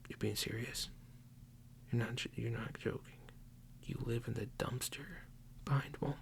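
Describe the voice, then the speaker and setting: A man whispering a playful mock police interrogation as an ASMR roleplay, slow and teasingly accusing. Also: mid-range voice, steady tone, vocal fry.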